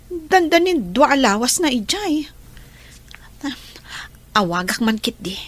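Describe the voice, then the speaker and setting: A woman's voice: high voice, husky, exaggerated voice. A woman acting an anxious, emotional young lover in a radio drama, with an Ilocano accent. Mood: wondering. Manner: emotional, plaintive. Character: Lilia, anxious, heartbroken young pregnant woman, betrayed lover.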